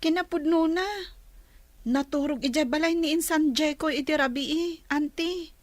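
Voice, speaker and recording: A woman's voice: high voice, unhurried, no room sound. A woman playing an earnest, easily hurt teenage daughter in a radio drama, with an Ilocano accent. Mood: defensive.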